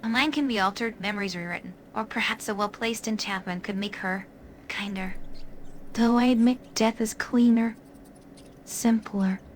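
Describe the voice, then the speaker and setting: A sly, seductive female dark creature from a fantasy game, voiced by a woman, speaks at a middling pitch. She is ancient, cruel and elegantly teasing. Faint game ambience sits behind her. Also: normal speed, a little hiss or hum, lightly acted. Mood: coolly persuasive. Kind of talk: in-character game companion dialogue.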